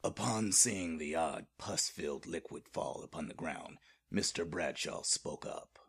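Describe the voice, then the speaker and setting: Horror audio drama narrator, played by a man: extremely low, husky, scripted storytelling. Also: unhurried, fairly bright tone, heavily acted. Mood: grave. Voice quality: very deep, husky.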